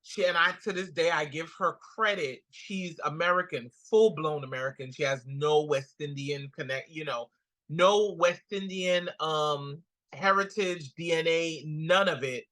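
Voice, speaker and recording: Bright-voiced guyanese woman telling stories. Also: sits low, unhurried. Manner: animated storyteller, acts out quotes. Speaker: guest comedian. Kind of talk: casual interview chat.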